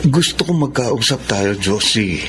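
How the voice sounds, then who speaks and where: A man's voice: mid-range voice, expressive, unhurried, music in the background, close to an everyday voice. An actor playing a young, repentant womanizer pleading with his former sweetheart in a coaxing, earnest way, in a Filipino radio drama. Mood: apologetic. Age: young adult.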